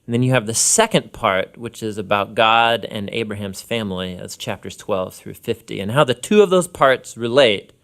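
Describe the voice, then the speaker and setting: A man's voice: lowish voice, expressive, moderate pace, creaky, few pauses, a bit bright. Man explaining in a conversational way. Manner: explanatory, informal wording. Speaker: Bible teacher, co-host.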